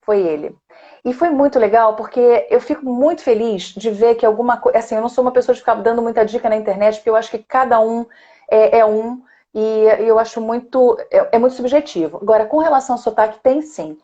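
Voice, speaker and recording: A woman's voice: on the high side, brisk, warm tone, lo-fi sound. A woman speech therapist with a Rio de Janeiro accent, explaining voice technique in a live online chat and tagging her points with "né?". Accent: Rio de Janeiro Brazilian Portuguese. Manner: explanatory, demonstrates sounds, frequent "né?".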